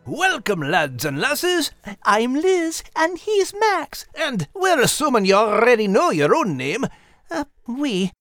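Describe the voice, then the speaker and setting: A man's voice: very high-pitched, huge pitch swings, slow, fairly bright tone, dry recording, soft background music, heavily acted. A man voicing a feisty, bossy male dog with a Scottish accent for a children's audio story. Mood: playful, bantering. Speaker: podcast co-host in character. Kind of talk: animated character acting for children's audio story.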